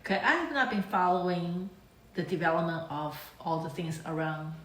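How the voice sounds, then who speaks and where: Female reaction host, lower register, casual and enthusiastic fan energy. Roomy, echoey sound. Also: normal speed, slight background noise. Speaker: reaction video channel host. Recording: echoey room.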